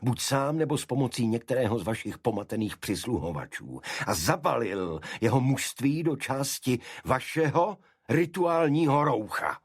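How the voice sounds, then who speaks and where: A man's voice: medium pitch, expressive, hardly any pauses, husky, no room sound, clean studio sound, pitched above the performer's own voice. A man voicing a relentless male detective in an audiobook, pressing his questions with accusation. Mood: accusing.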